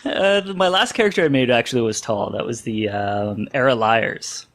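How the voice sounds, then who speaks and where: A man's voice: medium pitch, moderate pace, a bit bright. Casual, joking man in a game chat. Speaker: tabletop game player on a podcast.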